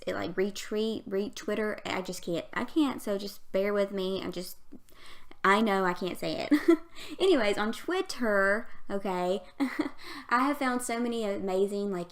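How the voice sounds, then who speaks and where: A young woman in her twenties with a Southern US accent and a girlish voice that sits at an ordinary pitch. She chats in a loose, rambling way, giggling often and tripping over her words. It sounds like casual talk over a game recording. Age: 20s. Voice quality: youthful, girlish voice. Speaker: YouTube gaming creator. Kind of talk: casual chat over a game speed build.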